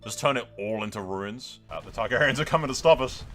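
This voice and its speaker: Guy streaming a game, super animated, sharp punchy voice, swears a lot, bit of music under him. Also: medium pitch, a little fast. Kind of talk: excited game commentary with dramatic roleplay.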